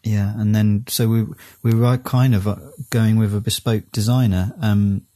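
A man's voice: fairly low pitch, fairly even intonation, moderate pace, warm tone, dry recording. A man co-hosting a podcast, chatting casually with lots of ums and uhs and self-deprecating jokes. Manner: rambling, hesitant with many ums and uhs. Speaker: podcast co-host. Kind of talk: casual chat.

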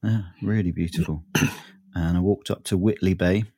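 A man's voice: sits low, fairly even intonation, moderate pace, dark tone, dry recording. A man with a sore, throaty voice, chatting casually as a podcast co-host, rambling and often laughing. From southern England. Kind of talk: casual chat.

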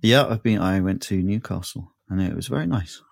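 A man's voice: low-pitched, fairly quick, vocal fry, dry recording, studio quality. A man with a sore, throaty voice, chatting casually as a podcast co-host, rambling and often laughing. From southern England. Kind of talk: casual chat.

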